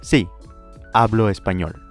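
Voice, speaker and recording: Male language teacher, low voice, careful pronunciation drills, faint music under. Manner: clear, slow model pronunciation with pauses to repeat. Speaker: Spanish teacher.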